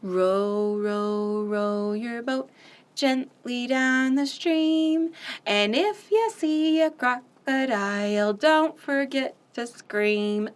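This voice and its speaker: A woman hosting a children's storytime, reading picture books aloud and singing nursery songs in an animated, sing-song way with loud playful sound effects. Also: on the high side, very slow.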